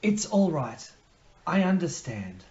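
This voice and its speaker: A man's voice: on the high side, very animated, slow, a little mellow, muffled recording. A man playing a subdued, forgiving convalescent husband in an audio play. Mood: gentle. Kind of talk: amateur audio play acting.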